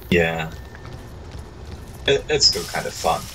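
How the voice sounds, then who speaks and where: A man's voice: low-pitched, expressive, slow, sharp tone, slight background noise. A tired man playing a co-op game with a friend, giving short, laid-back answers in casual chat. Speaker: co-op gaming partner. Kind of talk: casual voice chat while gaming.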